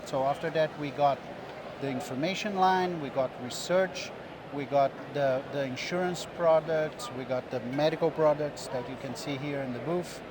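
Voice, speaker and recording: A middle-aged man from Brazil with a Brazilian Portuguese accent, explaining diving medicine in a measured way as an interview guest. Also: fairly high pitch, background noise. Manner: measured, explanatory, with small restarts. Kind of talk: interview answers. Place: busy expo booth floor.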